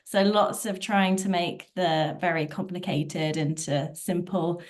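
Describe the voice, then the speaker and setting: A woman's voice: fairly low pitch, steady tone, measured pace. Upbeat woman, chatty panel guest. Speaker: retirement travel coach, panel guest. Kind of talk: online panel discussion.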